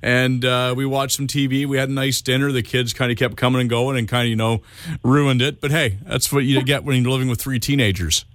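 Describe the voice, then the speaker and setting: Relaxed man on the radio. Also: medium pitch, fairly quick, dry recording. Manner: relaxed, hesitant, many fillers and restarts. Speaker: radio co-host. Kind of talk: casual on-air chat.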